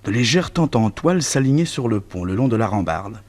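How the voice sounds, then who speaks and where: A man's voice: on the low side, sing-song, a little fast. A man playing a detective's nephew, narrating a 1960s French radio serial from a script. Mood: storytelling. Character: male detective's nephew narrating his uncle's exploits.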